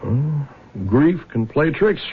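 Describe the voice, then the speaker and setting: An actor playing a weary, troubled older father in a radio drama, with a halting, folksy way of talking. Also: a little high, expressive, slightly rough, phone-quality sound, full character voice. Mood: weary, subdued. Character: weary aging widowed father, troubled and honest. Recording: thin band-limited old radio recording.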